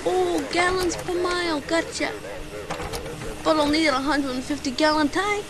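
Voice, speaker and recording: A woman's voice: sits high, unhurried. A woman playing a bumbling, self-important private detective in an amateur radio drama, with a pompous, theatrical delivery. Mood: exasperated haggling.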